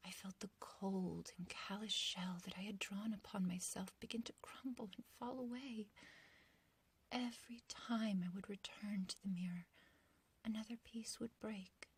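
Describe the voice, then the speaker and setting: A woman's voice: medium pitch, steady tone, normal speed. A woman playing an ancient but youthful witch in a whispered ASMR roleplay, obsessively loving and possessive, with archaic, intimate phrasing. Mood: tender, yearning. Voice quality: breathy, whispery.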